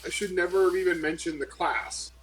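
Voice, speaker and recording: A man's voice: high-pitched, fairly quick, a bit bright, poor audio. A man playing in a tabletop role-playing game, casually arguing for his character in an exasperated way. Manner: defensive, exasperated. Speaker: player. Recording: faint background noise.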